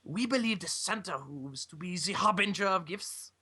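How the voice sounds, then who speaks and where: Raspy, bright, animated man reading. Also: high-pitched, normal speed. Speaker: podcast reader. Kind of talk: expressive amateur story reading.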